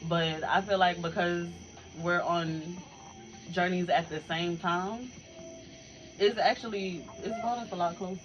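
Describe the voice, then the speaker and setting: A woman's voice: fairly low pitch, fairly even intonation, measured pace, dull audio, soft background music. A woman co-hosting a podcast with her older sister, chatting casually in a giggly, heartfelt way. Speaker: podcast co-host. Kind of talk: casual chat between sisters.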